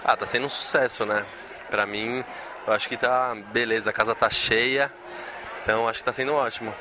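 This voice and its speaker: A man's voice: mid-range voice, steady tone, a little fast. A young Brazilian man giving a casual, slangy interview. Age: young adult. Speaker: restaurant team member being interviewed. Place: busy restaurant room. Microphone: handheld interview microphone. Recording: noisy restaurant background.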